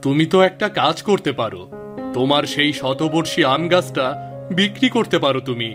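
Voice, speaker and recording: A man acts out a helpful, good-natured village husband in a cartoon folk tale. His voice sits a little high for a man, and music plays clearly behind him. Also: expressive, normal speed, lightly acted. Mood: advising. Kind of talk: scripted cartoon dialogue in a Bengali folk tale.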